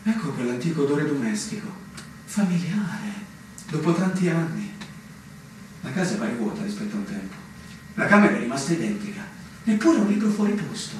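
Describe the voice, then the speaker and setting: An actor voicing the melancholy, lonely thoughts of a homecoming soldier in a radio play, declaimed in short theatrical phrases. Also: male voice, fairly high pitch, big pitch swings, fairly quick. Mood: wistful, searching. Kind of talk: theatrical literary narration. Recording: echoey, distant, noisy stage recording.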